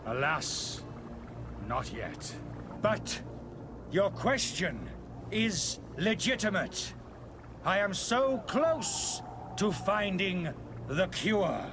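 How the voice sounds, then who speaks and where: An actor playing a smooth-talking street charlatan in a video game, grandiose and persuasive in a theatrical sales pitch. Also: male voice, very animated, very slow, long pauses, background noise, heavily acted. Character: smooth-talking male street charlatan hawking a miracle potion.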